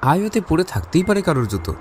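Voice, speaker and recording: An actor playing a detective's doubtful young sidekick, telling an audio story expressively. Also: male voice, on the high side, huge pitch swings, racing, a little mellow, a little hiss or hum. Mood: flustered. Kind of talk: dramatic audio story narration and dialogue. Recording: reverberant voice over music bed.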